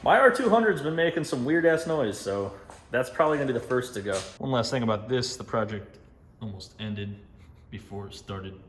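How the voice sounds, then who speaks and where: A man's voice: mid-range voice, expressive, fairly quick, slight background noise. A man hosting a vlog, chatting casually to camera in a slangy, self-deprecating, sweary way. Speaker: vlog host. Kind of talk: casual chat to camera about car and bike builds.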